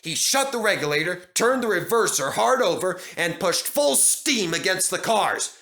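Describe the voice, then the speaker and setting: A man reading a children's railway story aloud with animated, expressive narration. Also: high voice, normal speed.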